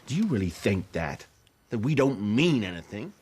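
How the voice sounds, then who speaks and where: Earnest comedian, deep voice, lively swooping intonation, persuasive, optimistic, drama dialogue. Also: male voice, normal speed, breathy edge. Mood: earnest, insistent. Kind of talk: radio-play style dramatic dialogue.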